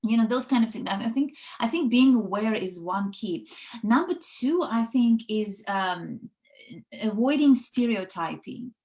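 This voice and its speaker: A woman's voice: a little high, animated, unhurried, a little mellow. A woman from the Soviet Union whose first language is Russian, chatting casually on a video call with lots of fillers and restarts. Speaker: guest, network founder. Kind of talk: casual conversation.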